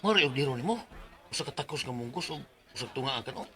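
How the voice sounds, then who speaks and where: A man's voice: mid-range voice, lively intonation, very fast, sharp tone. A man acting a generous, sympathetic workmate in a Bisaya radio drama, talking colloquially and insistently with a Filipino Bisaya accent. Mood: casual.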